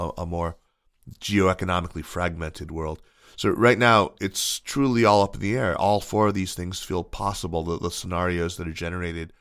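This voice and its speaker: Podcast host, male, very low voice, measured and articulate delivery, dry close-mic sound. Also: fairly bright tone, no echo. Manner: measured, articulate, with frequent fillers and restarts. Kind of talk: scripted intro then interview.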